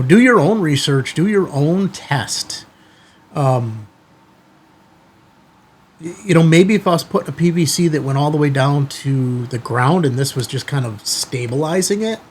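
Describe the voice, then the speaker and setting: A man's voice: fairly high pitch, a little hiss or hum. A man hosting a casual product review vlog, talking conversationally and emphatically in short bursts. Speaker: YouTube channel host.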